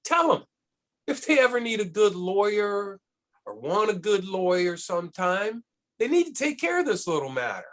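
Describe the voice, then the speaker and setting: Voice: mid-range voice, sing-song, moderate pace, fairly bright tone. An actor playing a ruthless, scheming boss in a screenplay table read, blunt and commanding. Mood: cold, demanding.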